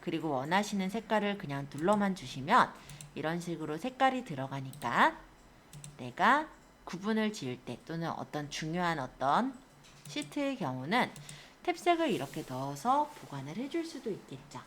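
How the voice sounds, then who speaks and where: Woman doing a step by step computer lesson, pitch moves around a lot, keeps asking if you follow, bit of background noise. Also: big pitch swings, normal speed, a bit bright. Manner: explanatory, often checks understanding with tag questions. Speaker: computer skills instructor. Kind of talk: step-by-step software tutorial, spoken informally to learners.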